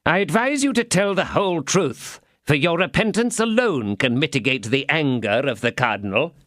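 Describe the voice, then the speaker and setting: A man's voice: on the high side, lively intonation, a bit bright, no room sound, clean studio sound, voice pushed up. A man voicing a stern, officious police commissary interrogating a prisoner. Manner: interrogating, officious, slow and emphatic.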